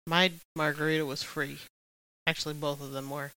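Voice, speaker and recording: A woman chatting with a bright, lively tone, recorded close and dry with no room sound. She reacts eagerly, gushes over the artists she likes and teases her co-host. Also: deep voice, no room sound. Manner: enthusiastic, teasing, quick to react. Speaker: podcast co-host. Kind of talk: casual chat ranking singers.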